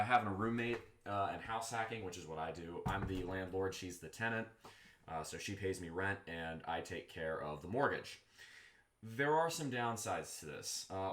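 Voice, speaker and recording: A rambling Southern man with a low, deep voice talks casually while cooking at home, drifting nearer and farther from the mic as he moves. Faint background noise and a few clicks sit under his speech. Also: a bit bright. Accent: Southern American. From Texas. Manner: rambling, chatty, moves around the kitchen. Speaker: streamer cooking chili. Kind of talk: casual live-stream chat while cooking. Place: home kitchen.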